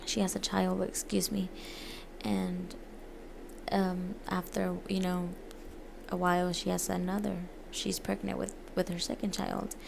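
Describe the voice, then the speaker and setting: A woman who grew up in the United States gives an informal, halting book presentation as a student, often pausing and correcting herself. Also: on the low side, gravelly, noisy background. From United States, born in Mexico. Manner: hesitant, halting, frequent pauses and self-corrections. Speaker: student presenting a literature essay.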